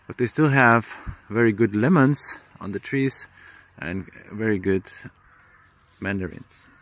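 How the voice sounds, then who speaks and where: Man vlogging outdoors, low voice. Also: unhurried, no room sound. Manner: relaxed, chatty, with fillers and restarts. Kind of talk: casual travel vlog narration. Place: outdoors on a hillside farm. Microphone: phone at arm's length.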